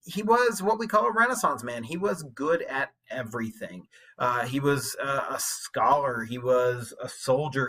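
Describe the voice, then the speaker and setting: A man giving an informal English lecture, explaining things in a conversational, colloquial way like a high school teacher. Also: sharp tone, breathy edge.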